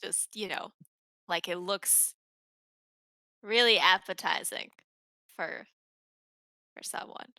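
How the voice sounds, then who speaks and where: A woman's voice: on the low side, takes it slowly, long pauses, bright, forceful tone, no room sound. A woman playing a tabletop game, hesitantly thinking aloud about her move. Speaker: player.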